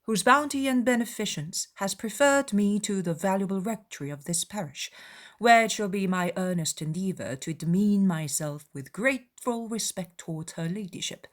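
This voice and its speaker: A self-important young clergyman as a woman reader puts him on, reciting a formal letter in a dramatized audiobook. He is pompous and obsequious, with deliberate, ornate phrasing. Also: mid-range voice, lightly acted.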